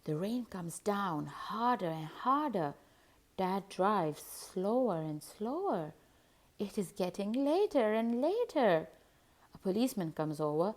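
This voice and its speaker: A woman narrating a children's picture book as host, in an expressive, deliberate storytelling manner. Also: medium pitch. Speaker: host and read-aloud narrator.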